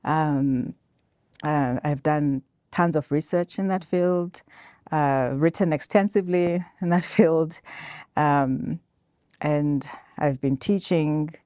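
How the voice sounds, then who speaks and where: Woman academic from South Africa, low voice, hesitant and searching, dry recording. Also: slow pace, dark tone, no echo. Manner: hesitant, many fillers. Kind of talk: panel self-introduction.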